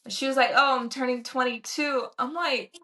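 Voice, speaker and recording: A woman's voice: high voice, fairly even intonation, moderate pace, pushed and bright. A woman in her twenties co-hosting a casual podcast, chatty and supportive, filling her speech with "like" and "you know". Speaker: podcast co-host. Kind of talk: casual chat.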